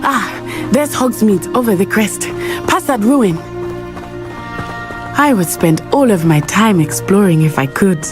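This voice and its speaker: A woman's voice: mid-range voice, measured pace, long pauses, over music, natural voice. A woman voicing a friendly, adventurous teenage schoolgirl witch in a video game, speaking in careful, formal phrasing. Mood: curious, animated. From grew up abroad, in a land without snow.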